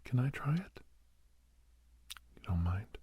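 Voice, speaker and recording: A man playing a gentle, reassuring doctor in a soft-spoken ASMR roleplay, with a husky, breathy voice and halting, hesitant delivery. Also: medium pitch, big pitch swings, dry recording, exaggerated voice. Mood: gently encouraging. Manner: soothing, hesitant, halting pauses. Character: gentle, reassuring male doctor counseling a nervous young werewolf.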